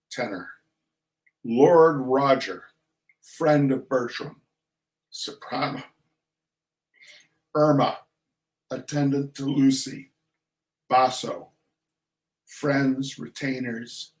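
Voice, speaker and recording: Slow, highly animated male reader. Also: low-quality recording. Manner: theatrical mock-serious delivery with dramatic pauses. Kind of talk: comic literary reading of opera synopses.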